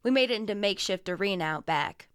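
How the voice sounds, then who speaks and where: An actress playing a steady, guarded middle-aged mother and protective sister in a small town, in radio drama. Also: medium pitch, quick pace, clean tone, no room sound, studio quality, close to an everyday voice. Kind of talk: naturalistic radio drama acting.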